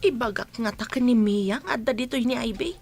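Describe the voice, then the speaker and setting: Actress as worried mother figure, secret-keeper, radio play, somewhat high voice. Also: expressive, a little fast, a little mellow, faint music behind. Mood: anguished. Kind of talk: Filipino radio drama acting.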